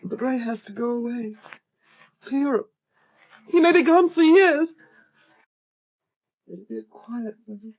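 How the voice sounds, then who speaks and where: Casting: fragile bereaved daughter, high-pitched, plaintive and halting, vintage radio melodrama. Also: female voice, lively intonation, darkish tone. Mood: grieving, defensive. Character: fragile, grieving, trusting orphaned daughter. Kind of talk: 1930s radio acting. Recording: vintage 1930s radio broadcast.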